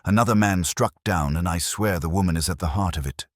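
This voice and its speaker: A man's voice: low voice, a little fast, no room sound, studio quality. A man voicing an agitated, insistent police inspector in an audiobook, with emphatic, old-fashioned formal speech. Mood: alarmed, urgent. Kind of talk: audiobook character dialogue.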